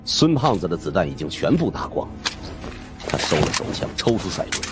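A man narrating a suspense audiobook in the first person, with dramatic, animated delivery. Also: low-pitched, a little fast, music in the background.

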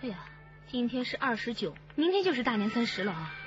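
A woman's voice: a little high, very animated, fast, far from the mic, dull audio, faint music behind. An actress playing a cheerful, understanding woman detective in a radio drama. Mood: cheerful, sympathetic.

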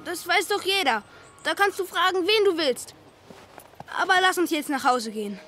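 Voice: very high-pitched, expressive, moderate pace, bright, forceful tone, slight background noise, exaggerated voice. A performer playing a sulky, sly young boy in a German audio drama, dismissive and smug.

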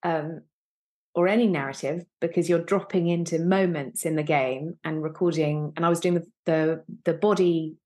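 A woman's voice: deep voice, steady tone, moderate pace, mellow. A woman in her thirties talking casually as an interview guest, thinking aloud as she goes, on a video call. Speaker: guest, actor. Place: indoor room, on a video call.

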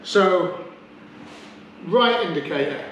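A man's voice: high-pitched, lively intonation, takes it slowly, fairly bright tone, echoey room, muffled recording, a little hiss or hum. A man chatting casually and joking as he checks over an old car in his garage workshop. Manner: chatty, jokey, thinking aloud. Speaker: car restoration vlogger.

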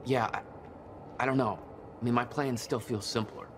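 An actor playing a skeptical, practical action hero in a cinematic video game, speaking in a casual, understated way with a gravelly voice. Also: male voice, medium pitch, fairly even intonation, moderate pace, natural voice. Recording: clear ambient noise behind the voice.

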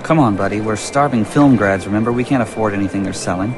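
A young actor playing a dry, grumbling yet idealistic film graduate, talking casually in an animated drama. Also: male voice, on the low side, fast talker, music in the background, lightly acted. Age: young adult. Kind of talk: animated comic drama, conversational.

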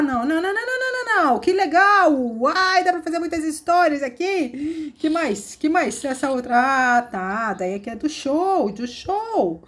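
A slightly hoarse woman chattering excitedly as she narrates a children's game. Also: sits high. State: somewhat hoarse after a cold. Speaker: host. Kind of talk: playful narrated gameplay.

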